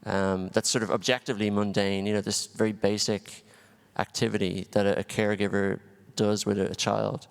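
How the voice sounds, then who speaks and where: A man's voice: fairly low pitch, little pitch movement, normal speed, vocal fry, few pauses, clean tone. A man from Dublin with an Irish accent, a film director answering questions on a festival panel in a thoughtful, restarting way. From Ireland, Dublin. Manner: thoughtful, with frequent restarts and ums. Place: festival venue hall. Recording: long hall reverb.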